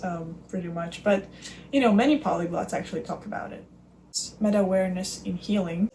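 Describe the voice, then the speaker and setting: A young woman talks through her ideas slowly, pausing and restarting as she hunts for the right word. Her voice sits at an ordinary middle pitch, and a faint hiss of background noise lies under it. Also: darkish tone, rough recording. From Russia. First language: Russian. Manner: thoughtful, searching for words, many fillers. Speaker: podcast host. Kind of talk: reflective solo talk.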